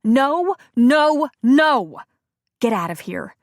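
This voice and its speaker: A woman reading an audiobook, voicing a bitter betrayed wife who scorns and shouts at her cheating ex-husband. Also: very animated, moderate pace, no room sound, clean studio sound. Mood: furious. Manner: scornful, shouting, raised pitch.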